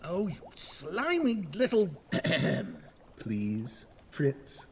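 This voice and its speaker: A man's voice: fairly high pitch, huge pitch swings, drawn-out words, full character voice. A man playing a frantic, exasperated young mad scientist, melodramatic and pleading, in a comic horror audio drama. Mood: impatient. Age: young adult. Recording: noticeable background noise.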